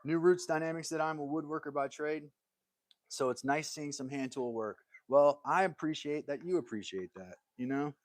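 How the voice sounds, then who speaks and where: A man's voice: on the high side, moderate pace, dry recording. Easygoing man chatting on a livestream. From United States. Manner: easygoing, rambling, reads out viewer comments. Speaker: livestream host, woodworker. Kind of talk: casual livestream chat. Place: his workshop.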